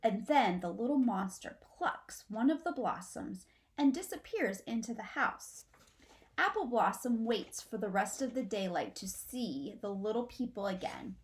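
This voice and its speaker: Lively middle-aged woman reading a children's story. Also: mid-range voice, measured pace. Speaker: teacher reading aloud. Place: small furnished room at home, indoors.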